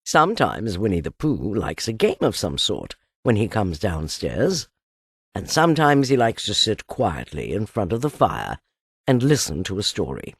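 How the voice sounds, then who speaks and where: A woman with a husky voice narrating a children's storybook in a theatrical, deliberate, expressive way. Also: very deep voice, no echo, studio quality. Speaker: audiobook narrator.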